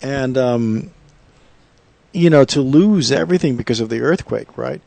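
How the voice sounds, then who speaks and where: A man's voice: mid-range voice, a little slow, vocal fry, mellow, dry recording. A man co-hosting a radio interview, jumping in with emphatic remarks peppered with "you know". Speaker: radio co-host.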